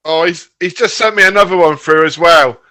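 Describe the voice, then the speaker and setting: A man's voice: a little high, fairly quick, pushed and bright. A man in his forties co-hosting a casual podcast, blunt and swearing freely. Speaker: podcast co-host. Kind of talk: casual group chat.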